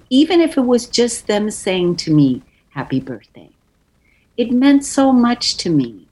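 A woman's voice: fairly low pitch, a little mellow, low-quality recording. A woman in her fifties telling a personal story in an effusive way, often repeating words for emphasis. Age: 50s.

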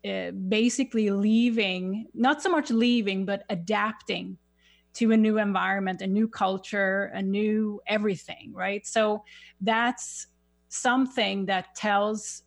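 Swedish-accented woman, radio guest, slow halting delivery, creaky tone. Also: medium pitch, fairly even intonation. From Sweden. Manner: halting, searches for words. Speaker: guest, spiritual life coach. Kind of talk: radio interview.

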